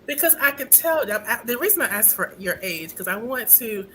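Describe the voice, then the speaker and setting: A woman's voice: fairly high pitch, animated, fairly quick, bright, forceful tone, slight background noise, rough recording. A woman hosting an online panel show, talking in an upbeat, chatty way straight to the women watching. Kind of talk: lively show hosting, addressing viewers.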